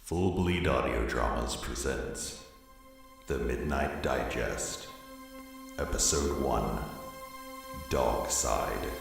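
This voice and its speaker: A man's voice: extremely low pitch, takes it slowly, gravelly, pauses often. A man reading scripted horror drama credits as an ominous announcer. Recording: distant, very echoey, loud music behind.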